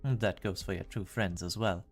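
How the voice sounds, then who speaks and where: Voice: low-pitched, steady tone, moderate pace, faint music behind, lightly acted. An actor playing a stern, formal, dryly exasperated royal officer in an amateur game fan dub. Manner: formal, clipped, dryly reproachful.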